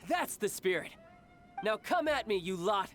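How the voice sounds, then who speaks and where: A man's voice: high voice, big pitch swings, a little slow, fairly bright tone, heavily acted. A man voicing a cocky young military commander in a video game, commanding and theatrical, with a playful streak. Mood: stern. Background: game music.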